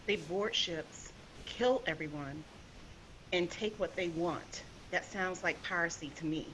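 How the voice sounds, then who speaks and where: A woman's voice: fairly low pitch, a little slow, a bit bright, a little hiss or hum, low-quality recording. A woman playing a tough young survival specialist in a sci-fi table read, firm and matter-of-fact. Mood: determined.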